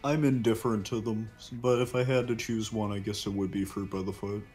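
Man playing a low-voiced, calm ninja chameleon. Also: brisk, lightly acted. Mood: calm, reassuring. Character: calm, polite male ninja chameleon detective. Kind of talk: casual gaming chat in character. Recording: music behind the voice.

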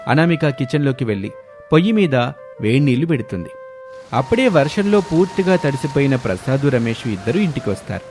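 A man's voice: medium pitch, very animated, a little fast. A man narrating a scripted family moral story. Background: music.

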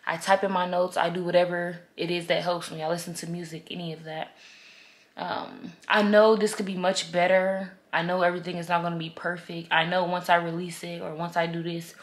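A woman's voice: lowish voice, level tone, fairly quick. A woman of twenty-one chatting candidly to her camera in a casual vlog, heartfelt and open, using slang. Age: 20s. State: congested, stuffy. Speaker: vlogger. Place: bedroom, indoors, then in a car.

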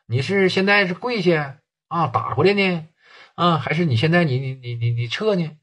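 A man voicing a smug, domineering gang boss who talks down with a slow drawl. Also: on the high side, a bit bright.

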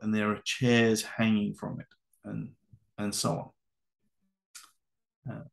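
Male presenter for a voice-over training lecture, low and gravelly, measured and instructive. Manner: measured, instructive, with occasional fillers. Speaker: adaptive ski course presenter. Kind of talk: narrated slide lecture.

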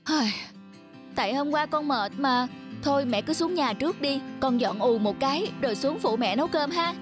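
A young woman plays a spoiled, cheerful cartoon daughter with a high voice. She whines and wheedles in a bubbly way. A clear music track runs underneath. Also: expressive, normal speed. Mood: whiny. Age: young adult. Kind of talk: cartoon dub acting. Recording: studio voice-over with background music.

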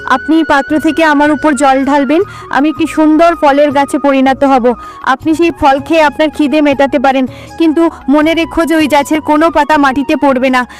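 A woman's voice: sits high, fairly even intonation, moderate pace, faint music behind, lightly acted. A woman voicing a kind, trusting young queen in a cartoon story, earnest and polite. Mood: concerned, helpful.

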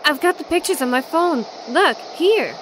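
Actress doing grave lady ghost expert, radio drama, measured n apologetic, kinda high voice. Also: expressive, noisy background. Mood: gently apologetic. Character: calm, grave female spirit-expert posing as a doctor.

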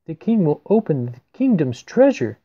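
A man's voice: a little high, big pitch swings, mellow, dull audio, pitched above the performer's own voice. A man voicing an eager soldier proclaiming promises in rhyme, for a children's storybook. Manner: brisk, proclaiming.

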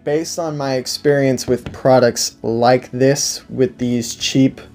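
Male reviewer, 20s, unhurried and mid-pitched, enthusiastic and emphatic, off-the-cuff delivery. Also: a little mellow. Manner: enthusiastic, emphatic, repeats phrases. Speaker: product review host. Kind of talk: casual unscripted product review. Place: indoor room, seated at a table before a backdrop.

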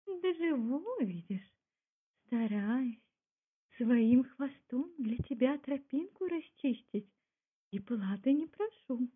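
A woman's voice: expressive, slow, husky, exaggerated voice. A woman voicing a sly, teasing fox from a folk tale in a sing-song, wheedling manner. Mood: sly, self-satisfied. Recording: muffled low-fidelity home recording.

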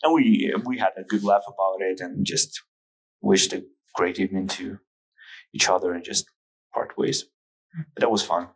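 A man's voice: sits low, moderate pace, creaky, long pauses, poor audio. A man from Ukraine with a Ukrainian accent, chatting casually and telling anecdotes on a podcast. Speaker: podcast guest, hobby photographer.

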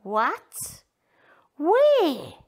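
A woman voicing a shy, gentle female bunny who speaks softly and hesitantly. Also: high-pitched, wildly swooping pitch, extremely slow, voice pushed up, full character voice. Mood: wistful.

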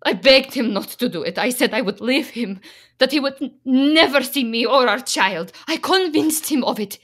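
An actress playing a desperate Slovak immigrant wife in a radio play, pleading and defiant in halting, Slovak-accented English. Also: female voice, sits high, animated, a bit bright. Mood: anguished confession.

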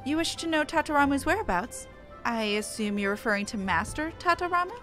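A woman's voice: high voice, expressive, brisk, bright, forceful tone, soft background music. A woman voicing a haughty, officious company clerk with a formal, scolding manner.

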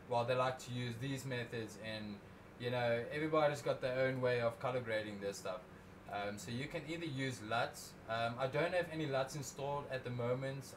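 A young man talks through an editing tutorial in a loose, rambling way, often restarting his sentences. His voice sits at a middling pitch. He speaks into a desk mic, and steady background noise runs under the whole recording. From South Africa. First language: Afrikaans. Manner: rambling, self-correcting, casual. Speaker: drone video creator.

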